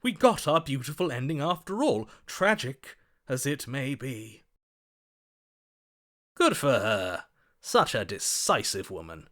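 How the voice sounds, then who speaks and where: An actor playing a lovestruck, gallant romantic hero in a video game, speaking in a florid, swooning, melodramatic way. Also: male voice, mid-range voice, sing-song, takes it slowly, fairly bright tone. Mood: wistful, romantic. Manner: florid, swooning, dramatic delivery. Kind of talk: theatrical video game voice acting.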